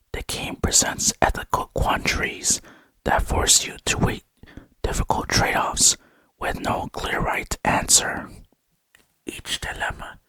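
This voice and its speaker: A man whispering scripted ASMR narration in a hushed, unvoiced voice, close to the microphone. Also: no echo. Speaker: video host and narrator.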